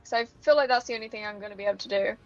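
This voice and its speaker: A woman's voice: medium pitch, very fast. Hesitant woman player on dull mic. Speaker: tabletop game player. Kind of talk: casual game chat. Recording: dull lo-fi mic over music.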